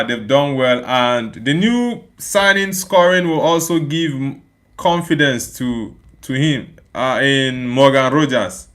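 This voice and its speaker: A man's voice: fairly high pitch, a bit bright. A young man from Nigeria hosting a live football watchalong, reacting to the match and reading out viewer comments in a casual, repetitive way. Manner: casual, repeats phrases, chats with viewers. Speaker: watchalong streamer.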